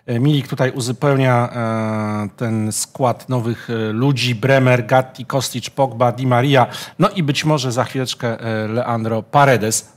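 A man's voice: mid-range voice, fairly even intonation, unhurried. A man hosting a football talk show, moderating and posing questions, in a TV studio. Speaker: host.

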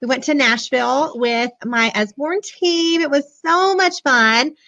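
A bubbly woman in her forties talks in a hoarse, high voice while chatting with her live viewers about a children's book. The webcam audio is dull and lo-fi. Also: a little slow, pushed and bright. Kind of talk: casual live book show-and-tell. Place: small room indoors, decorated backdrop. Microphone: webcam at desk.

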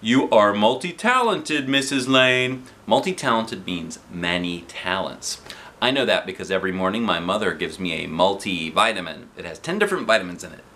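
A man in his forties with an American accent, reading a children's book aloud in an expressive, playful way with casual asides. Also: low voice, brisk, a little hiss or hum. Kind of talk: animated children's storybook narration with casual asides. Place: living room, seated on a sofa. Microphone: camera a short distance in front.

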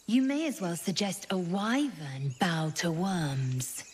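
A woman's voice: fairly low pitch, very animated, takes it slowly, breathy edge, heavily acted. A woman playing a haughty alien warrior in a fantasy game, imperious and clipped in manner. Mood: stern, imperious. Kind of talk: cinematic fantasy game acting.

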